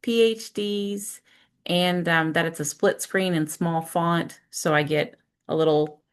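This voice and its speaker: A woman's voice: on the low side, fairly even intonation, takes it slowly, smooth. A woman teacher giving an informal screen-share walkthrough, thinking aloud step by step in a conversational way. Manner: conversational, explains step by step with self-questions and check-ins.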